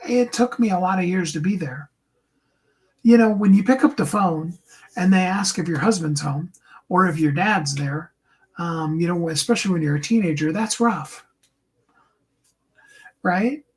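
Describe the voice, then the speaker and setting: A man with a higher tenor voice giving an earnest, encouraging motivational talk to his viewers, often slowing down and dropping into a soft whisper. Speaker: host and coach.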